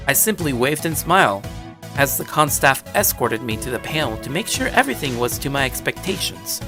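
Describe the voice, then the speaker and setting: A man tells a first-person story in a relaxed, chatty voice, his pitch rising and falling all over the place. He drops wry little asides, and clear music plays behind him. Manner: casual, conversational, wry asides. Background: background music.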